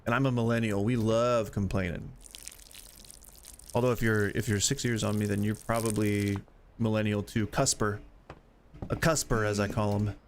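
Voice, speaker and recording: A man's voice: on the low side, little pitch movement, moderate pace, long pauses, a little hiss or hum. A man in his forties chatting casually and rambling while playing a game, joking about getting old. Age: 40s. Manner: rambling, self-deprecating, chatty. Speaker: gaming streamer. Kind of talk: casual gameplay chat.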